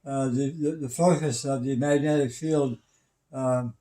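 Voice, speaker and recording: An older man in his seventies explaining things casually, in a halting, stammering, matter-of-fact way. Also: medium pitch, fairly even intonation, dark tone. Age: 70s. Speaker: retired systems engineer and radio hobbyist.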